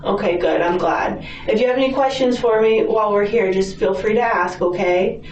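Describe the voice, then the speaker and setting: A woman's voice: mid-range voice, moderate pace. An American woman working as a police detective, asking questions in a sympathetic way and often checking answers. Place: police interview room. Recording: distant echoey mic, dull audio, faint noise.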